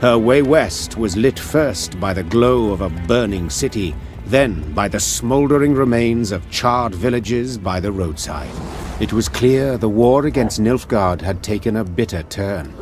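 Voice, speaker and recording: A low-voiced storyteller narrates a war saga for a fantasy game. He is measured and solemn, with music underneath. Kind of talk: scripted dramatic storybook narration.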